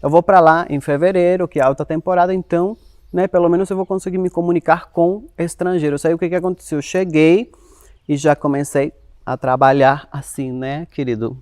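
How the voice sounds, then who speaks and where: A young man from Uruguay, whose first language is Spanish, telling his life story as an interview guest, speaking Portuguese with a Uruguayan Spanish accent in an animated, expressive way. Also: a little high, a little fast, dry recording, studio quality. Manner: animated, expressive, quotes himself in lively voices.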